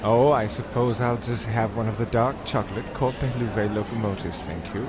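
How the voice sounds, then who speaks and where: An actor playing a pompous, fussy, vain old detective and singer in an old-time radio comedy. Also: male voice, fairly low pitch, fairly even intonation, moderate pace, heavy background noise, natural voice. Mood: curt, haughty. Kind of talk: old-time radio play parody acting.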